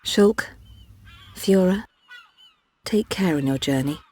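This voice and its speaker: An actress playing a caring, serious healer in a video game, with measured, gentle delivery and a dark, husky voice. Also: female voice, fairly low pitch, animated, full character voice. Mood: solemn. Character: caring, grave female healer and mechanic, candid adviser. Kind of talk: dramatic video game voice acting.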